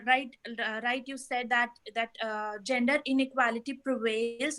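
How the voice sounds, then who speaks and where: Woman, high pitch, bright tone, video call from home, earnest summary, hesitant fillers. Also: low-quality recording. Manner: earnest, many fillers and restarts, apologetic. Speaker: discussant in an online webinar. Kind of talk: summarizing comments on a talk. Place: home, toddler nearby.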